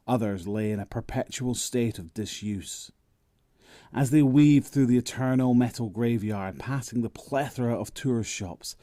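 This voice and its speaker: A man's voice: fairly low pitch, warm tone, no room sound, clean studio sound. A man narrating a scripted dramatic story.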